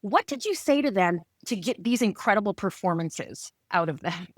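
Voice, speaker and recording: A woman's voice: medium pitch, lively intonation, normal speed, no room sound, clean studio sound. A woman hosting a podcast interview in a gushing, enthusiastic manner. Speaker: host.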